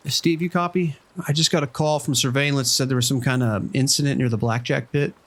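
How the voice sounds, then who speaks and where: A man playing a calm, cautious casino manager in an audio drama, giving instructions in a casual, steady way. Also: medium pitch, brisk. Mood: calm, businesslike. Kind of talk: radio drama dialogue.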